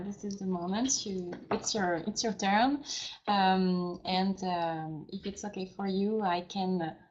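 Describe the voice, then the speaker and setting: A woman moderating a webinar, polite, handing over with brief transitions. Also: medium pitch. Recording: dull lo-fi sound.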